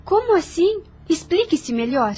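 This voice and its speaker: A woman playing a high, anxious young sister. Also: big pitch swings, a little slow, darkish tone, full character voice. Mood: worried. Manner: questioning, emotional. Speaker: audio drama actress. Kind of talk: radio drama acting.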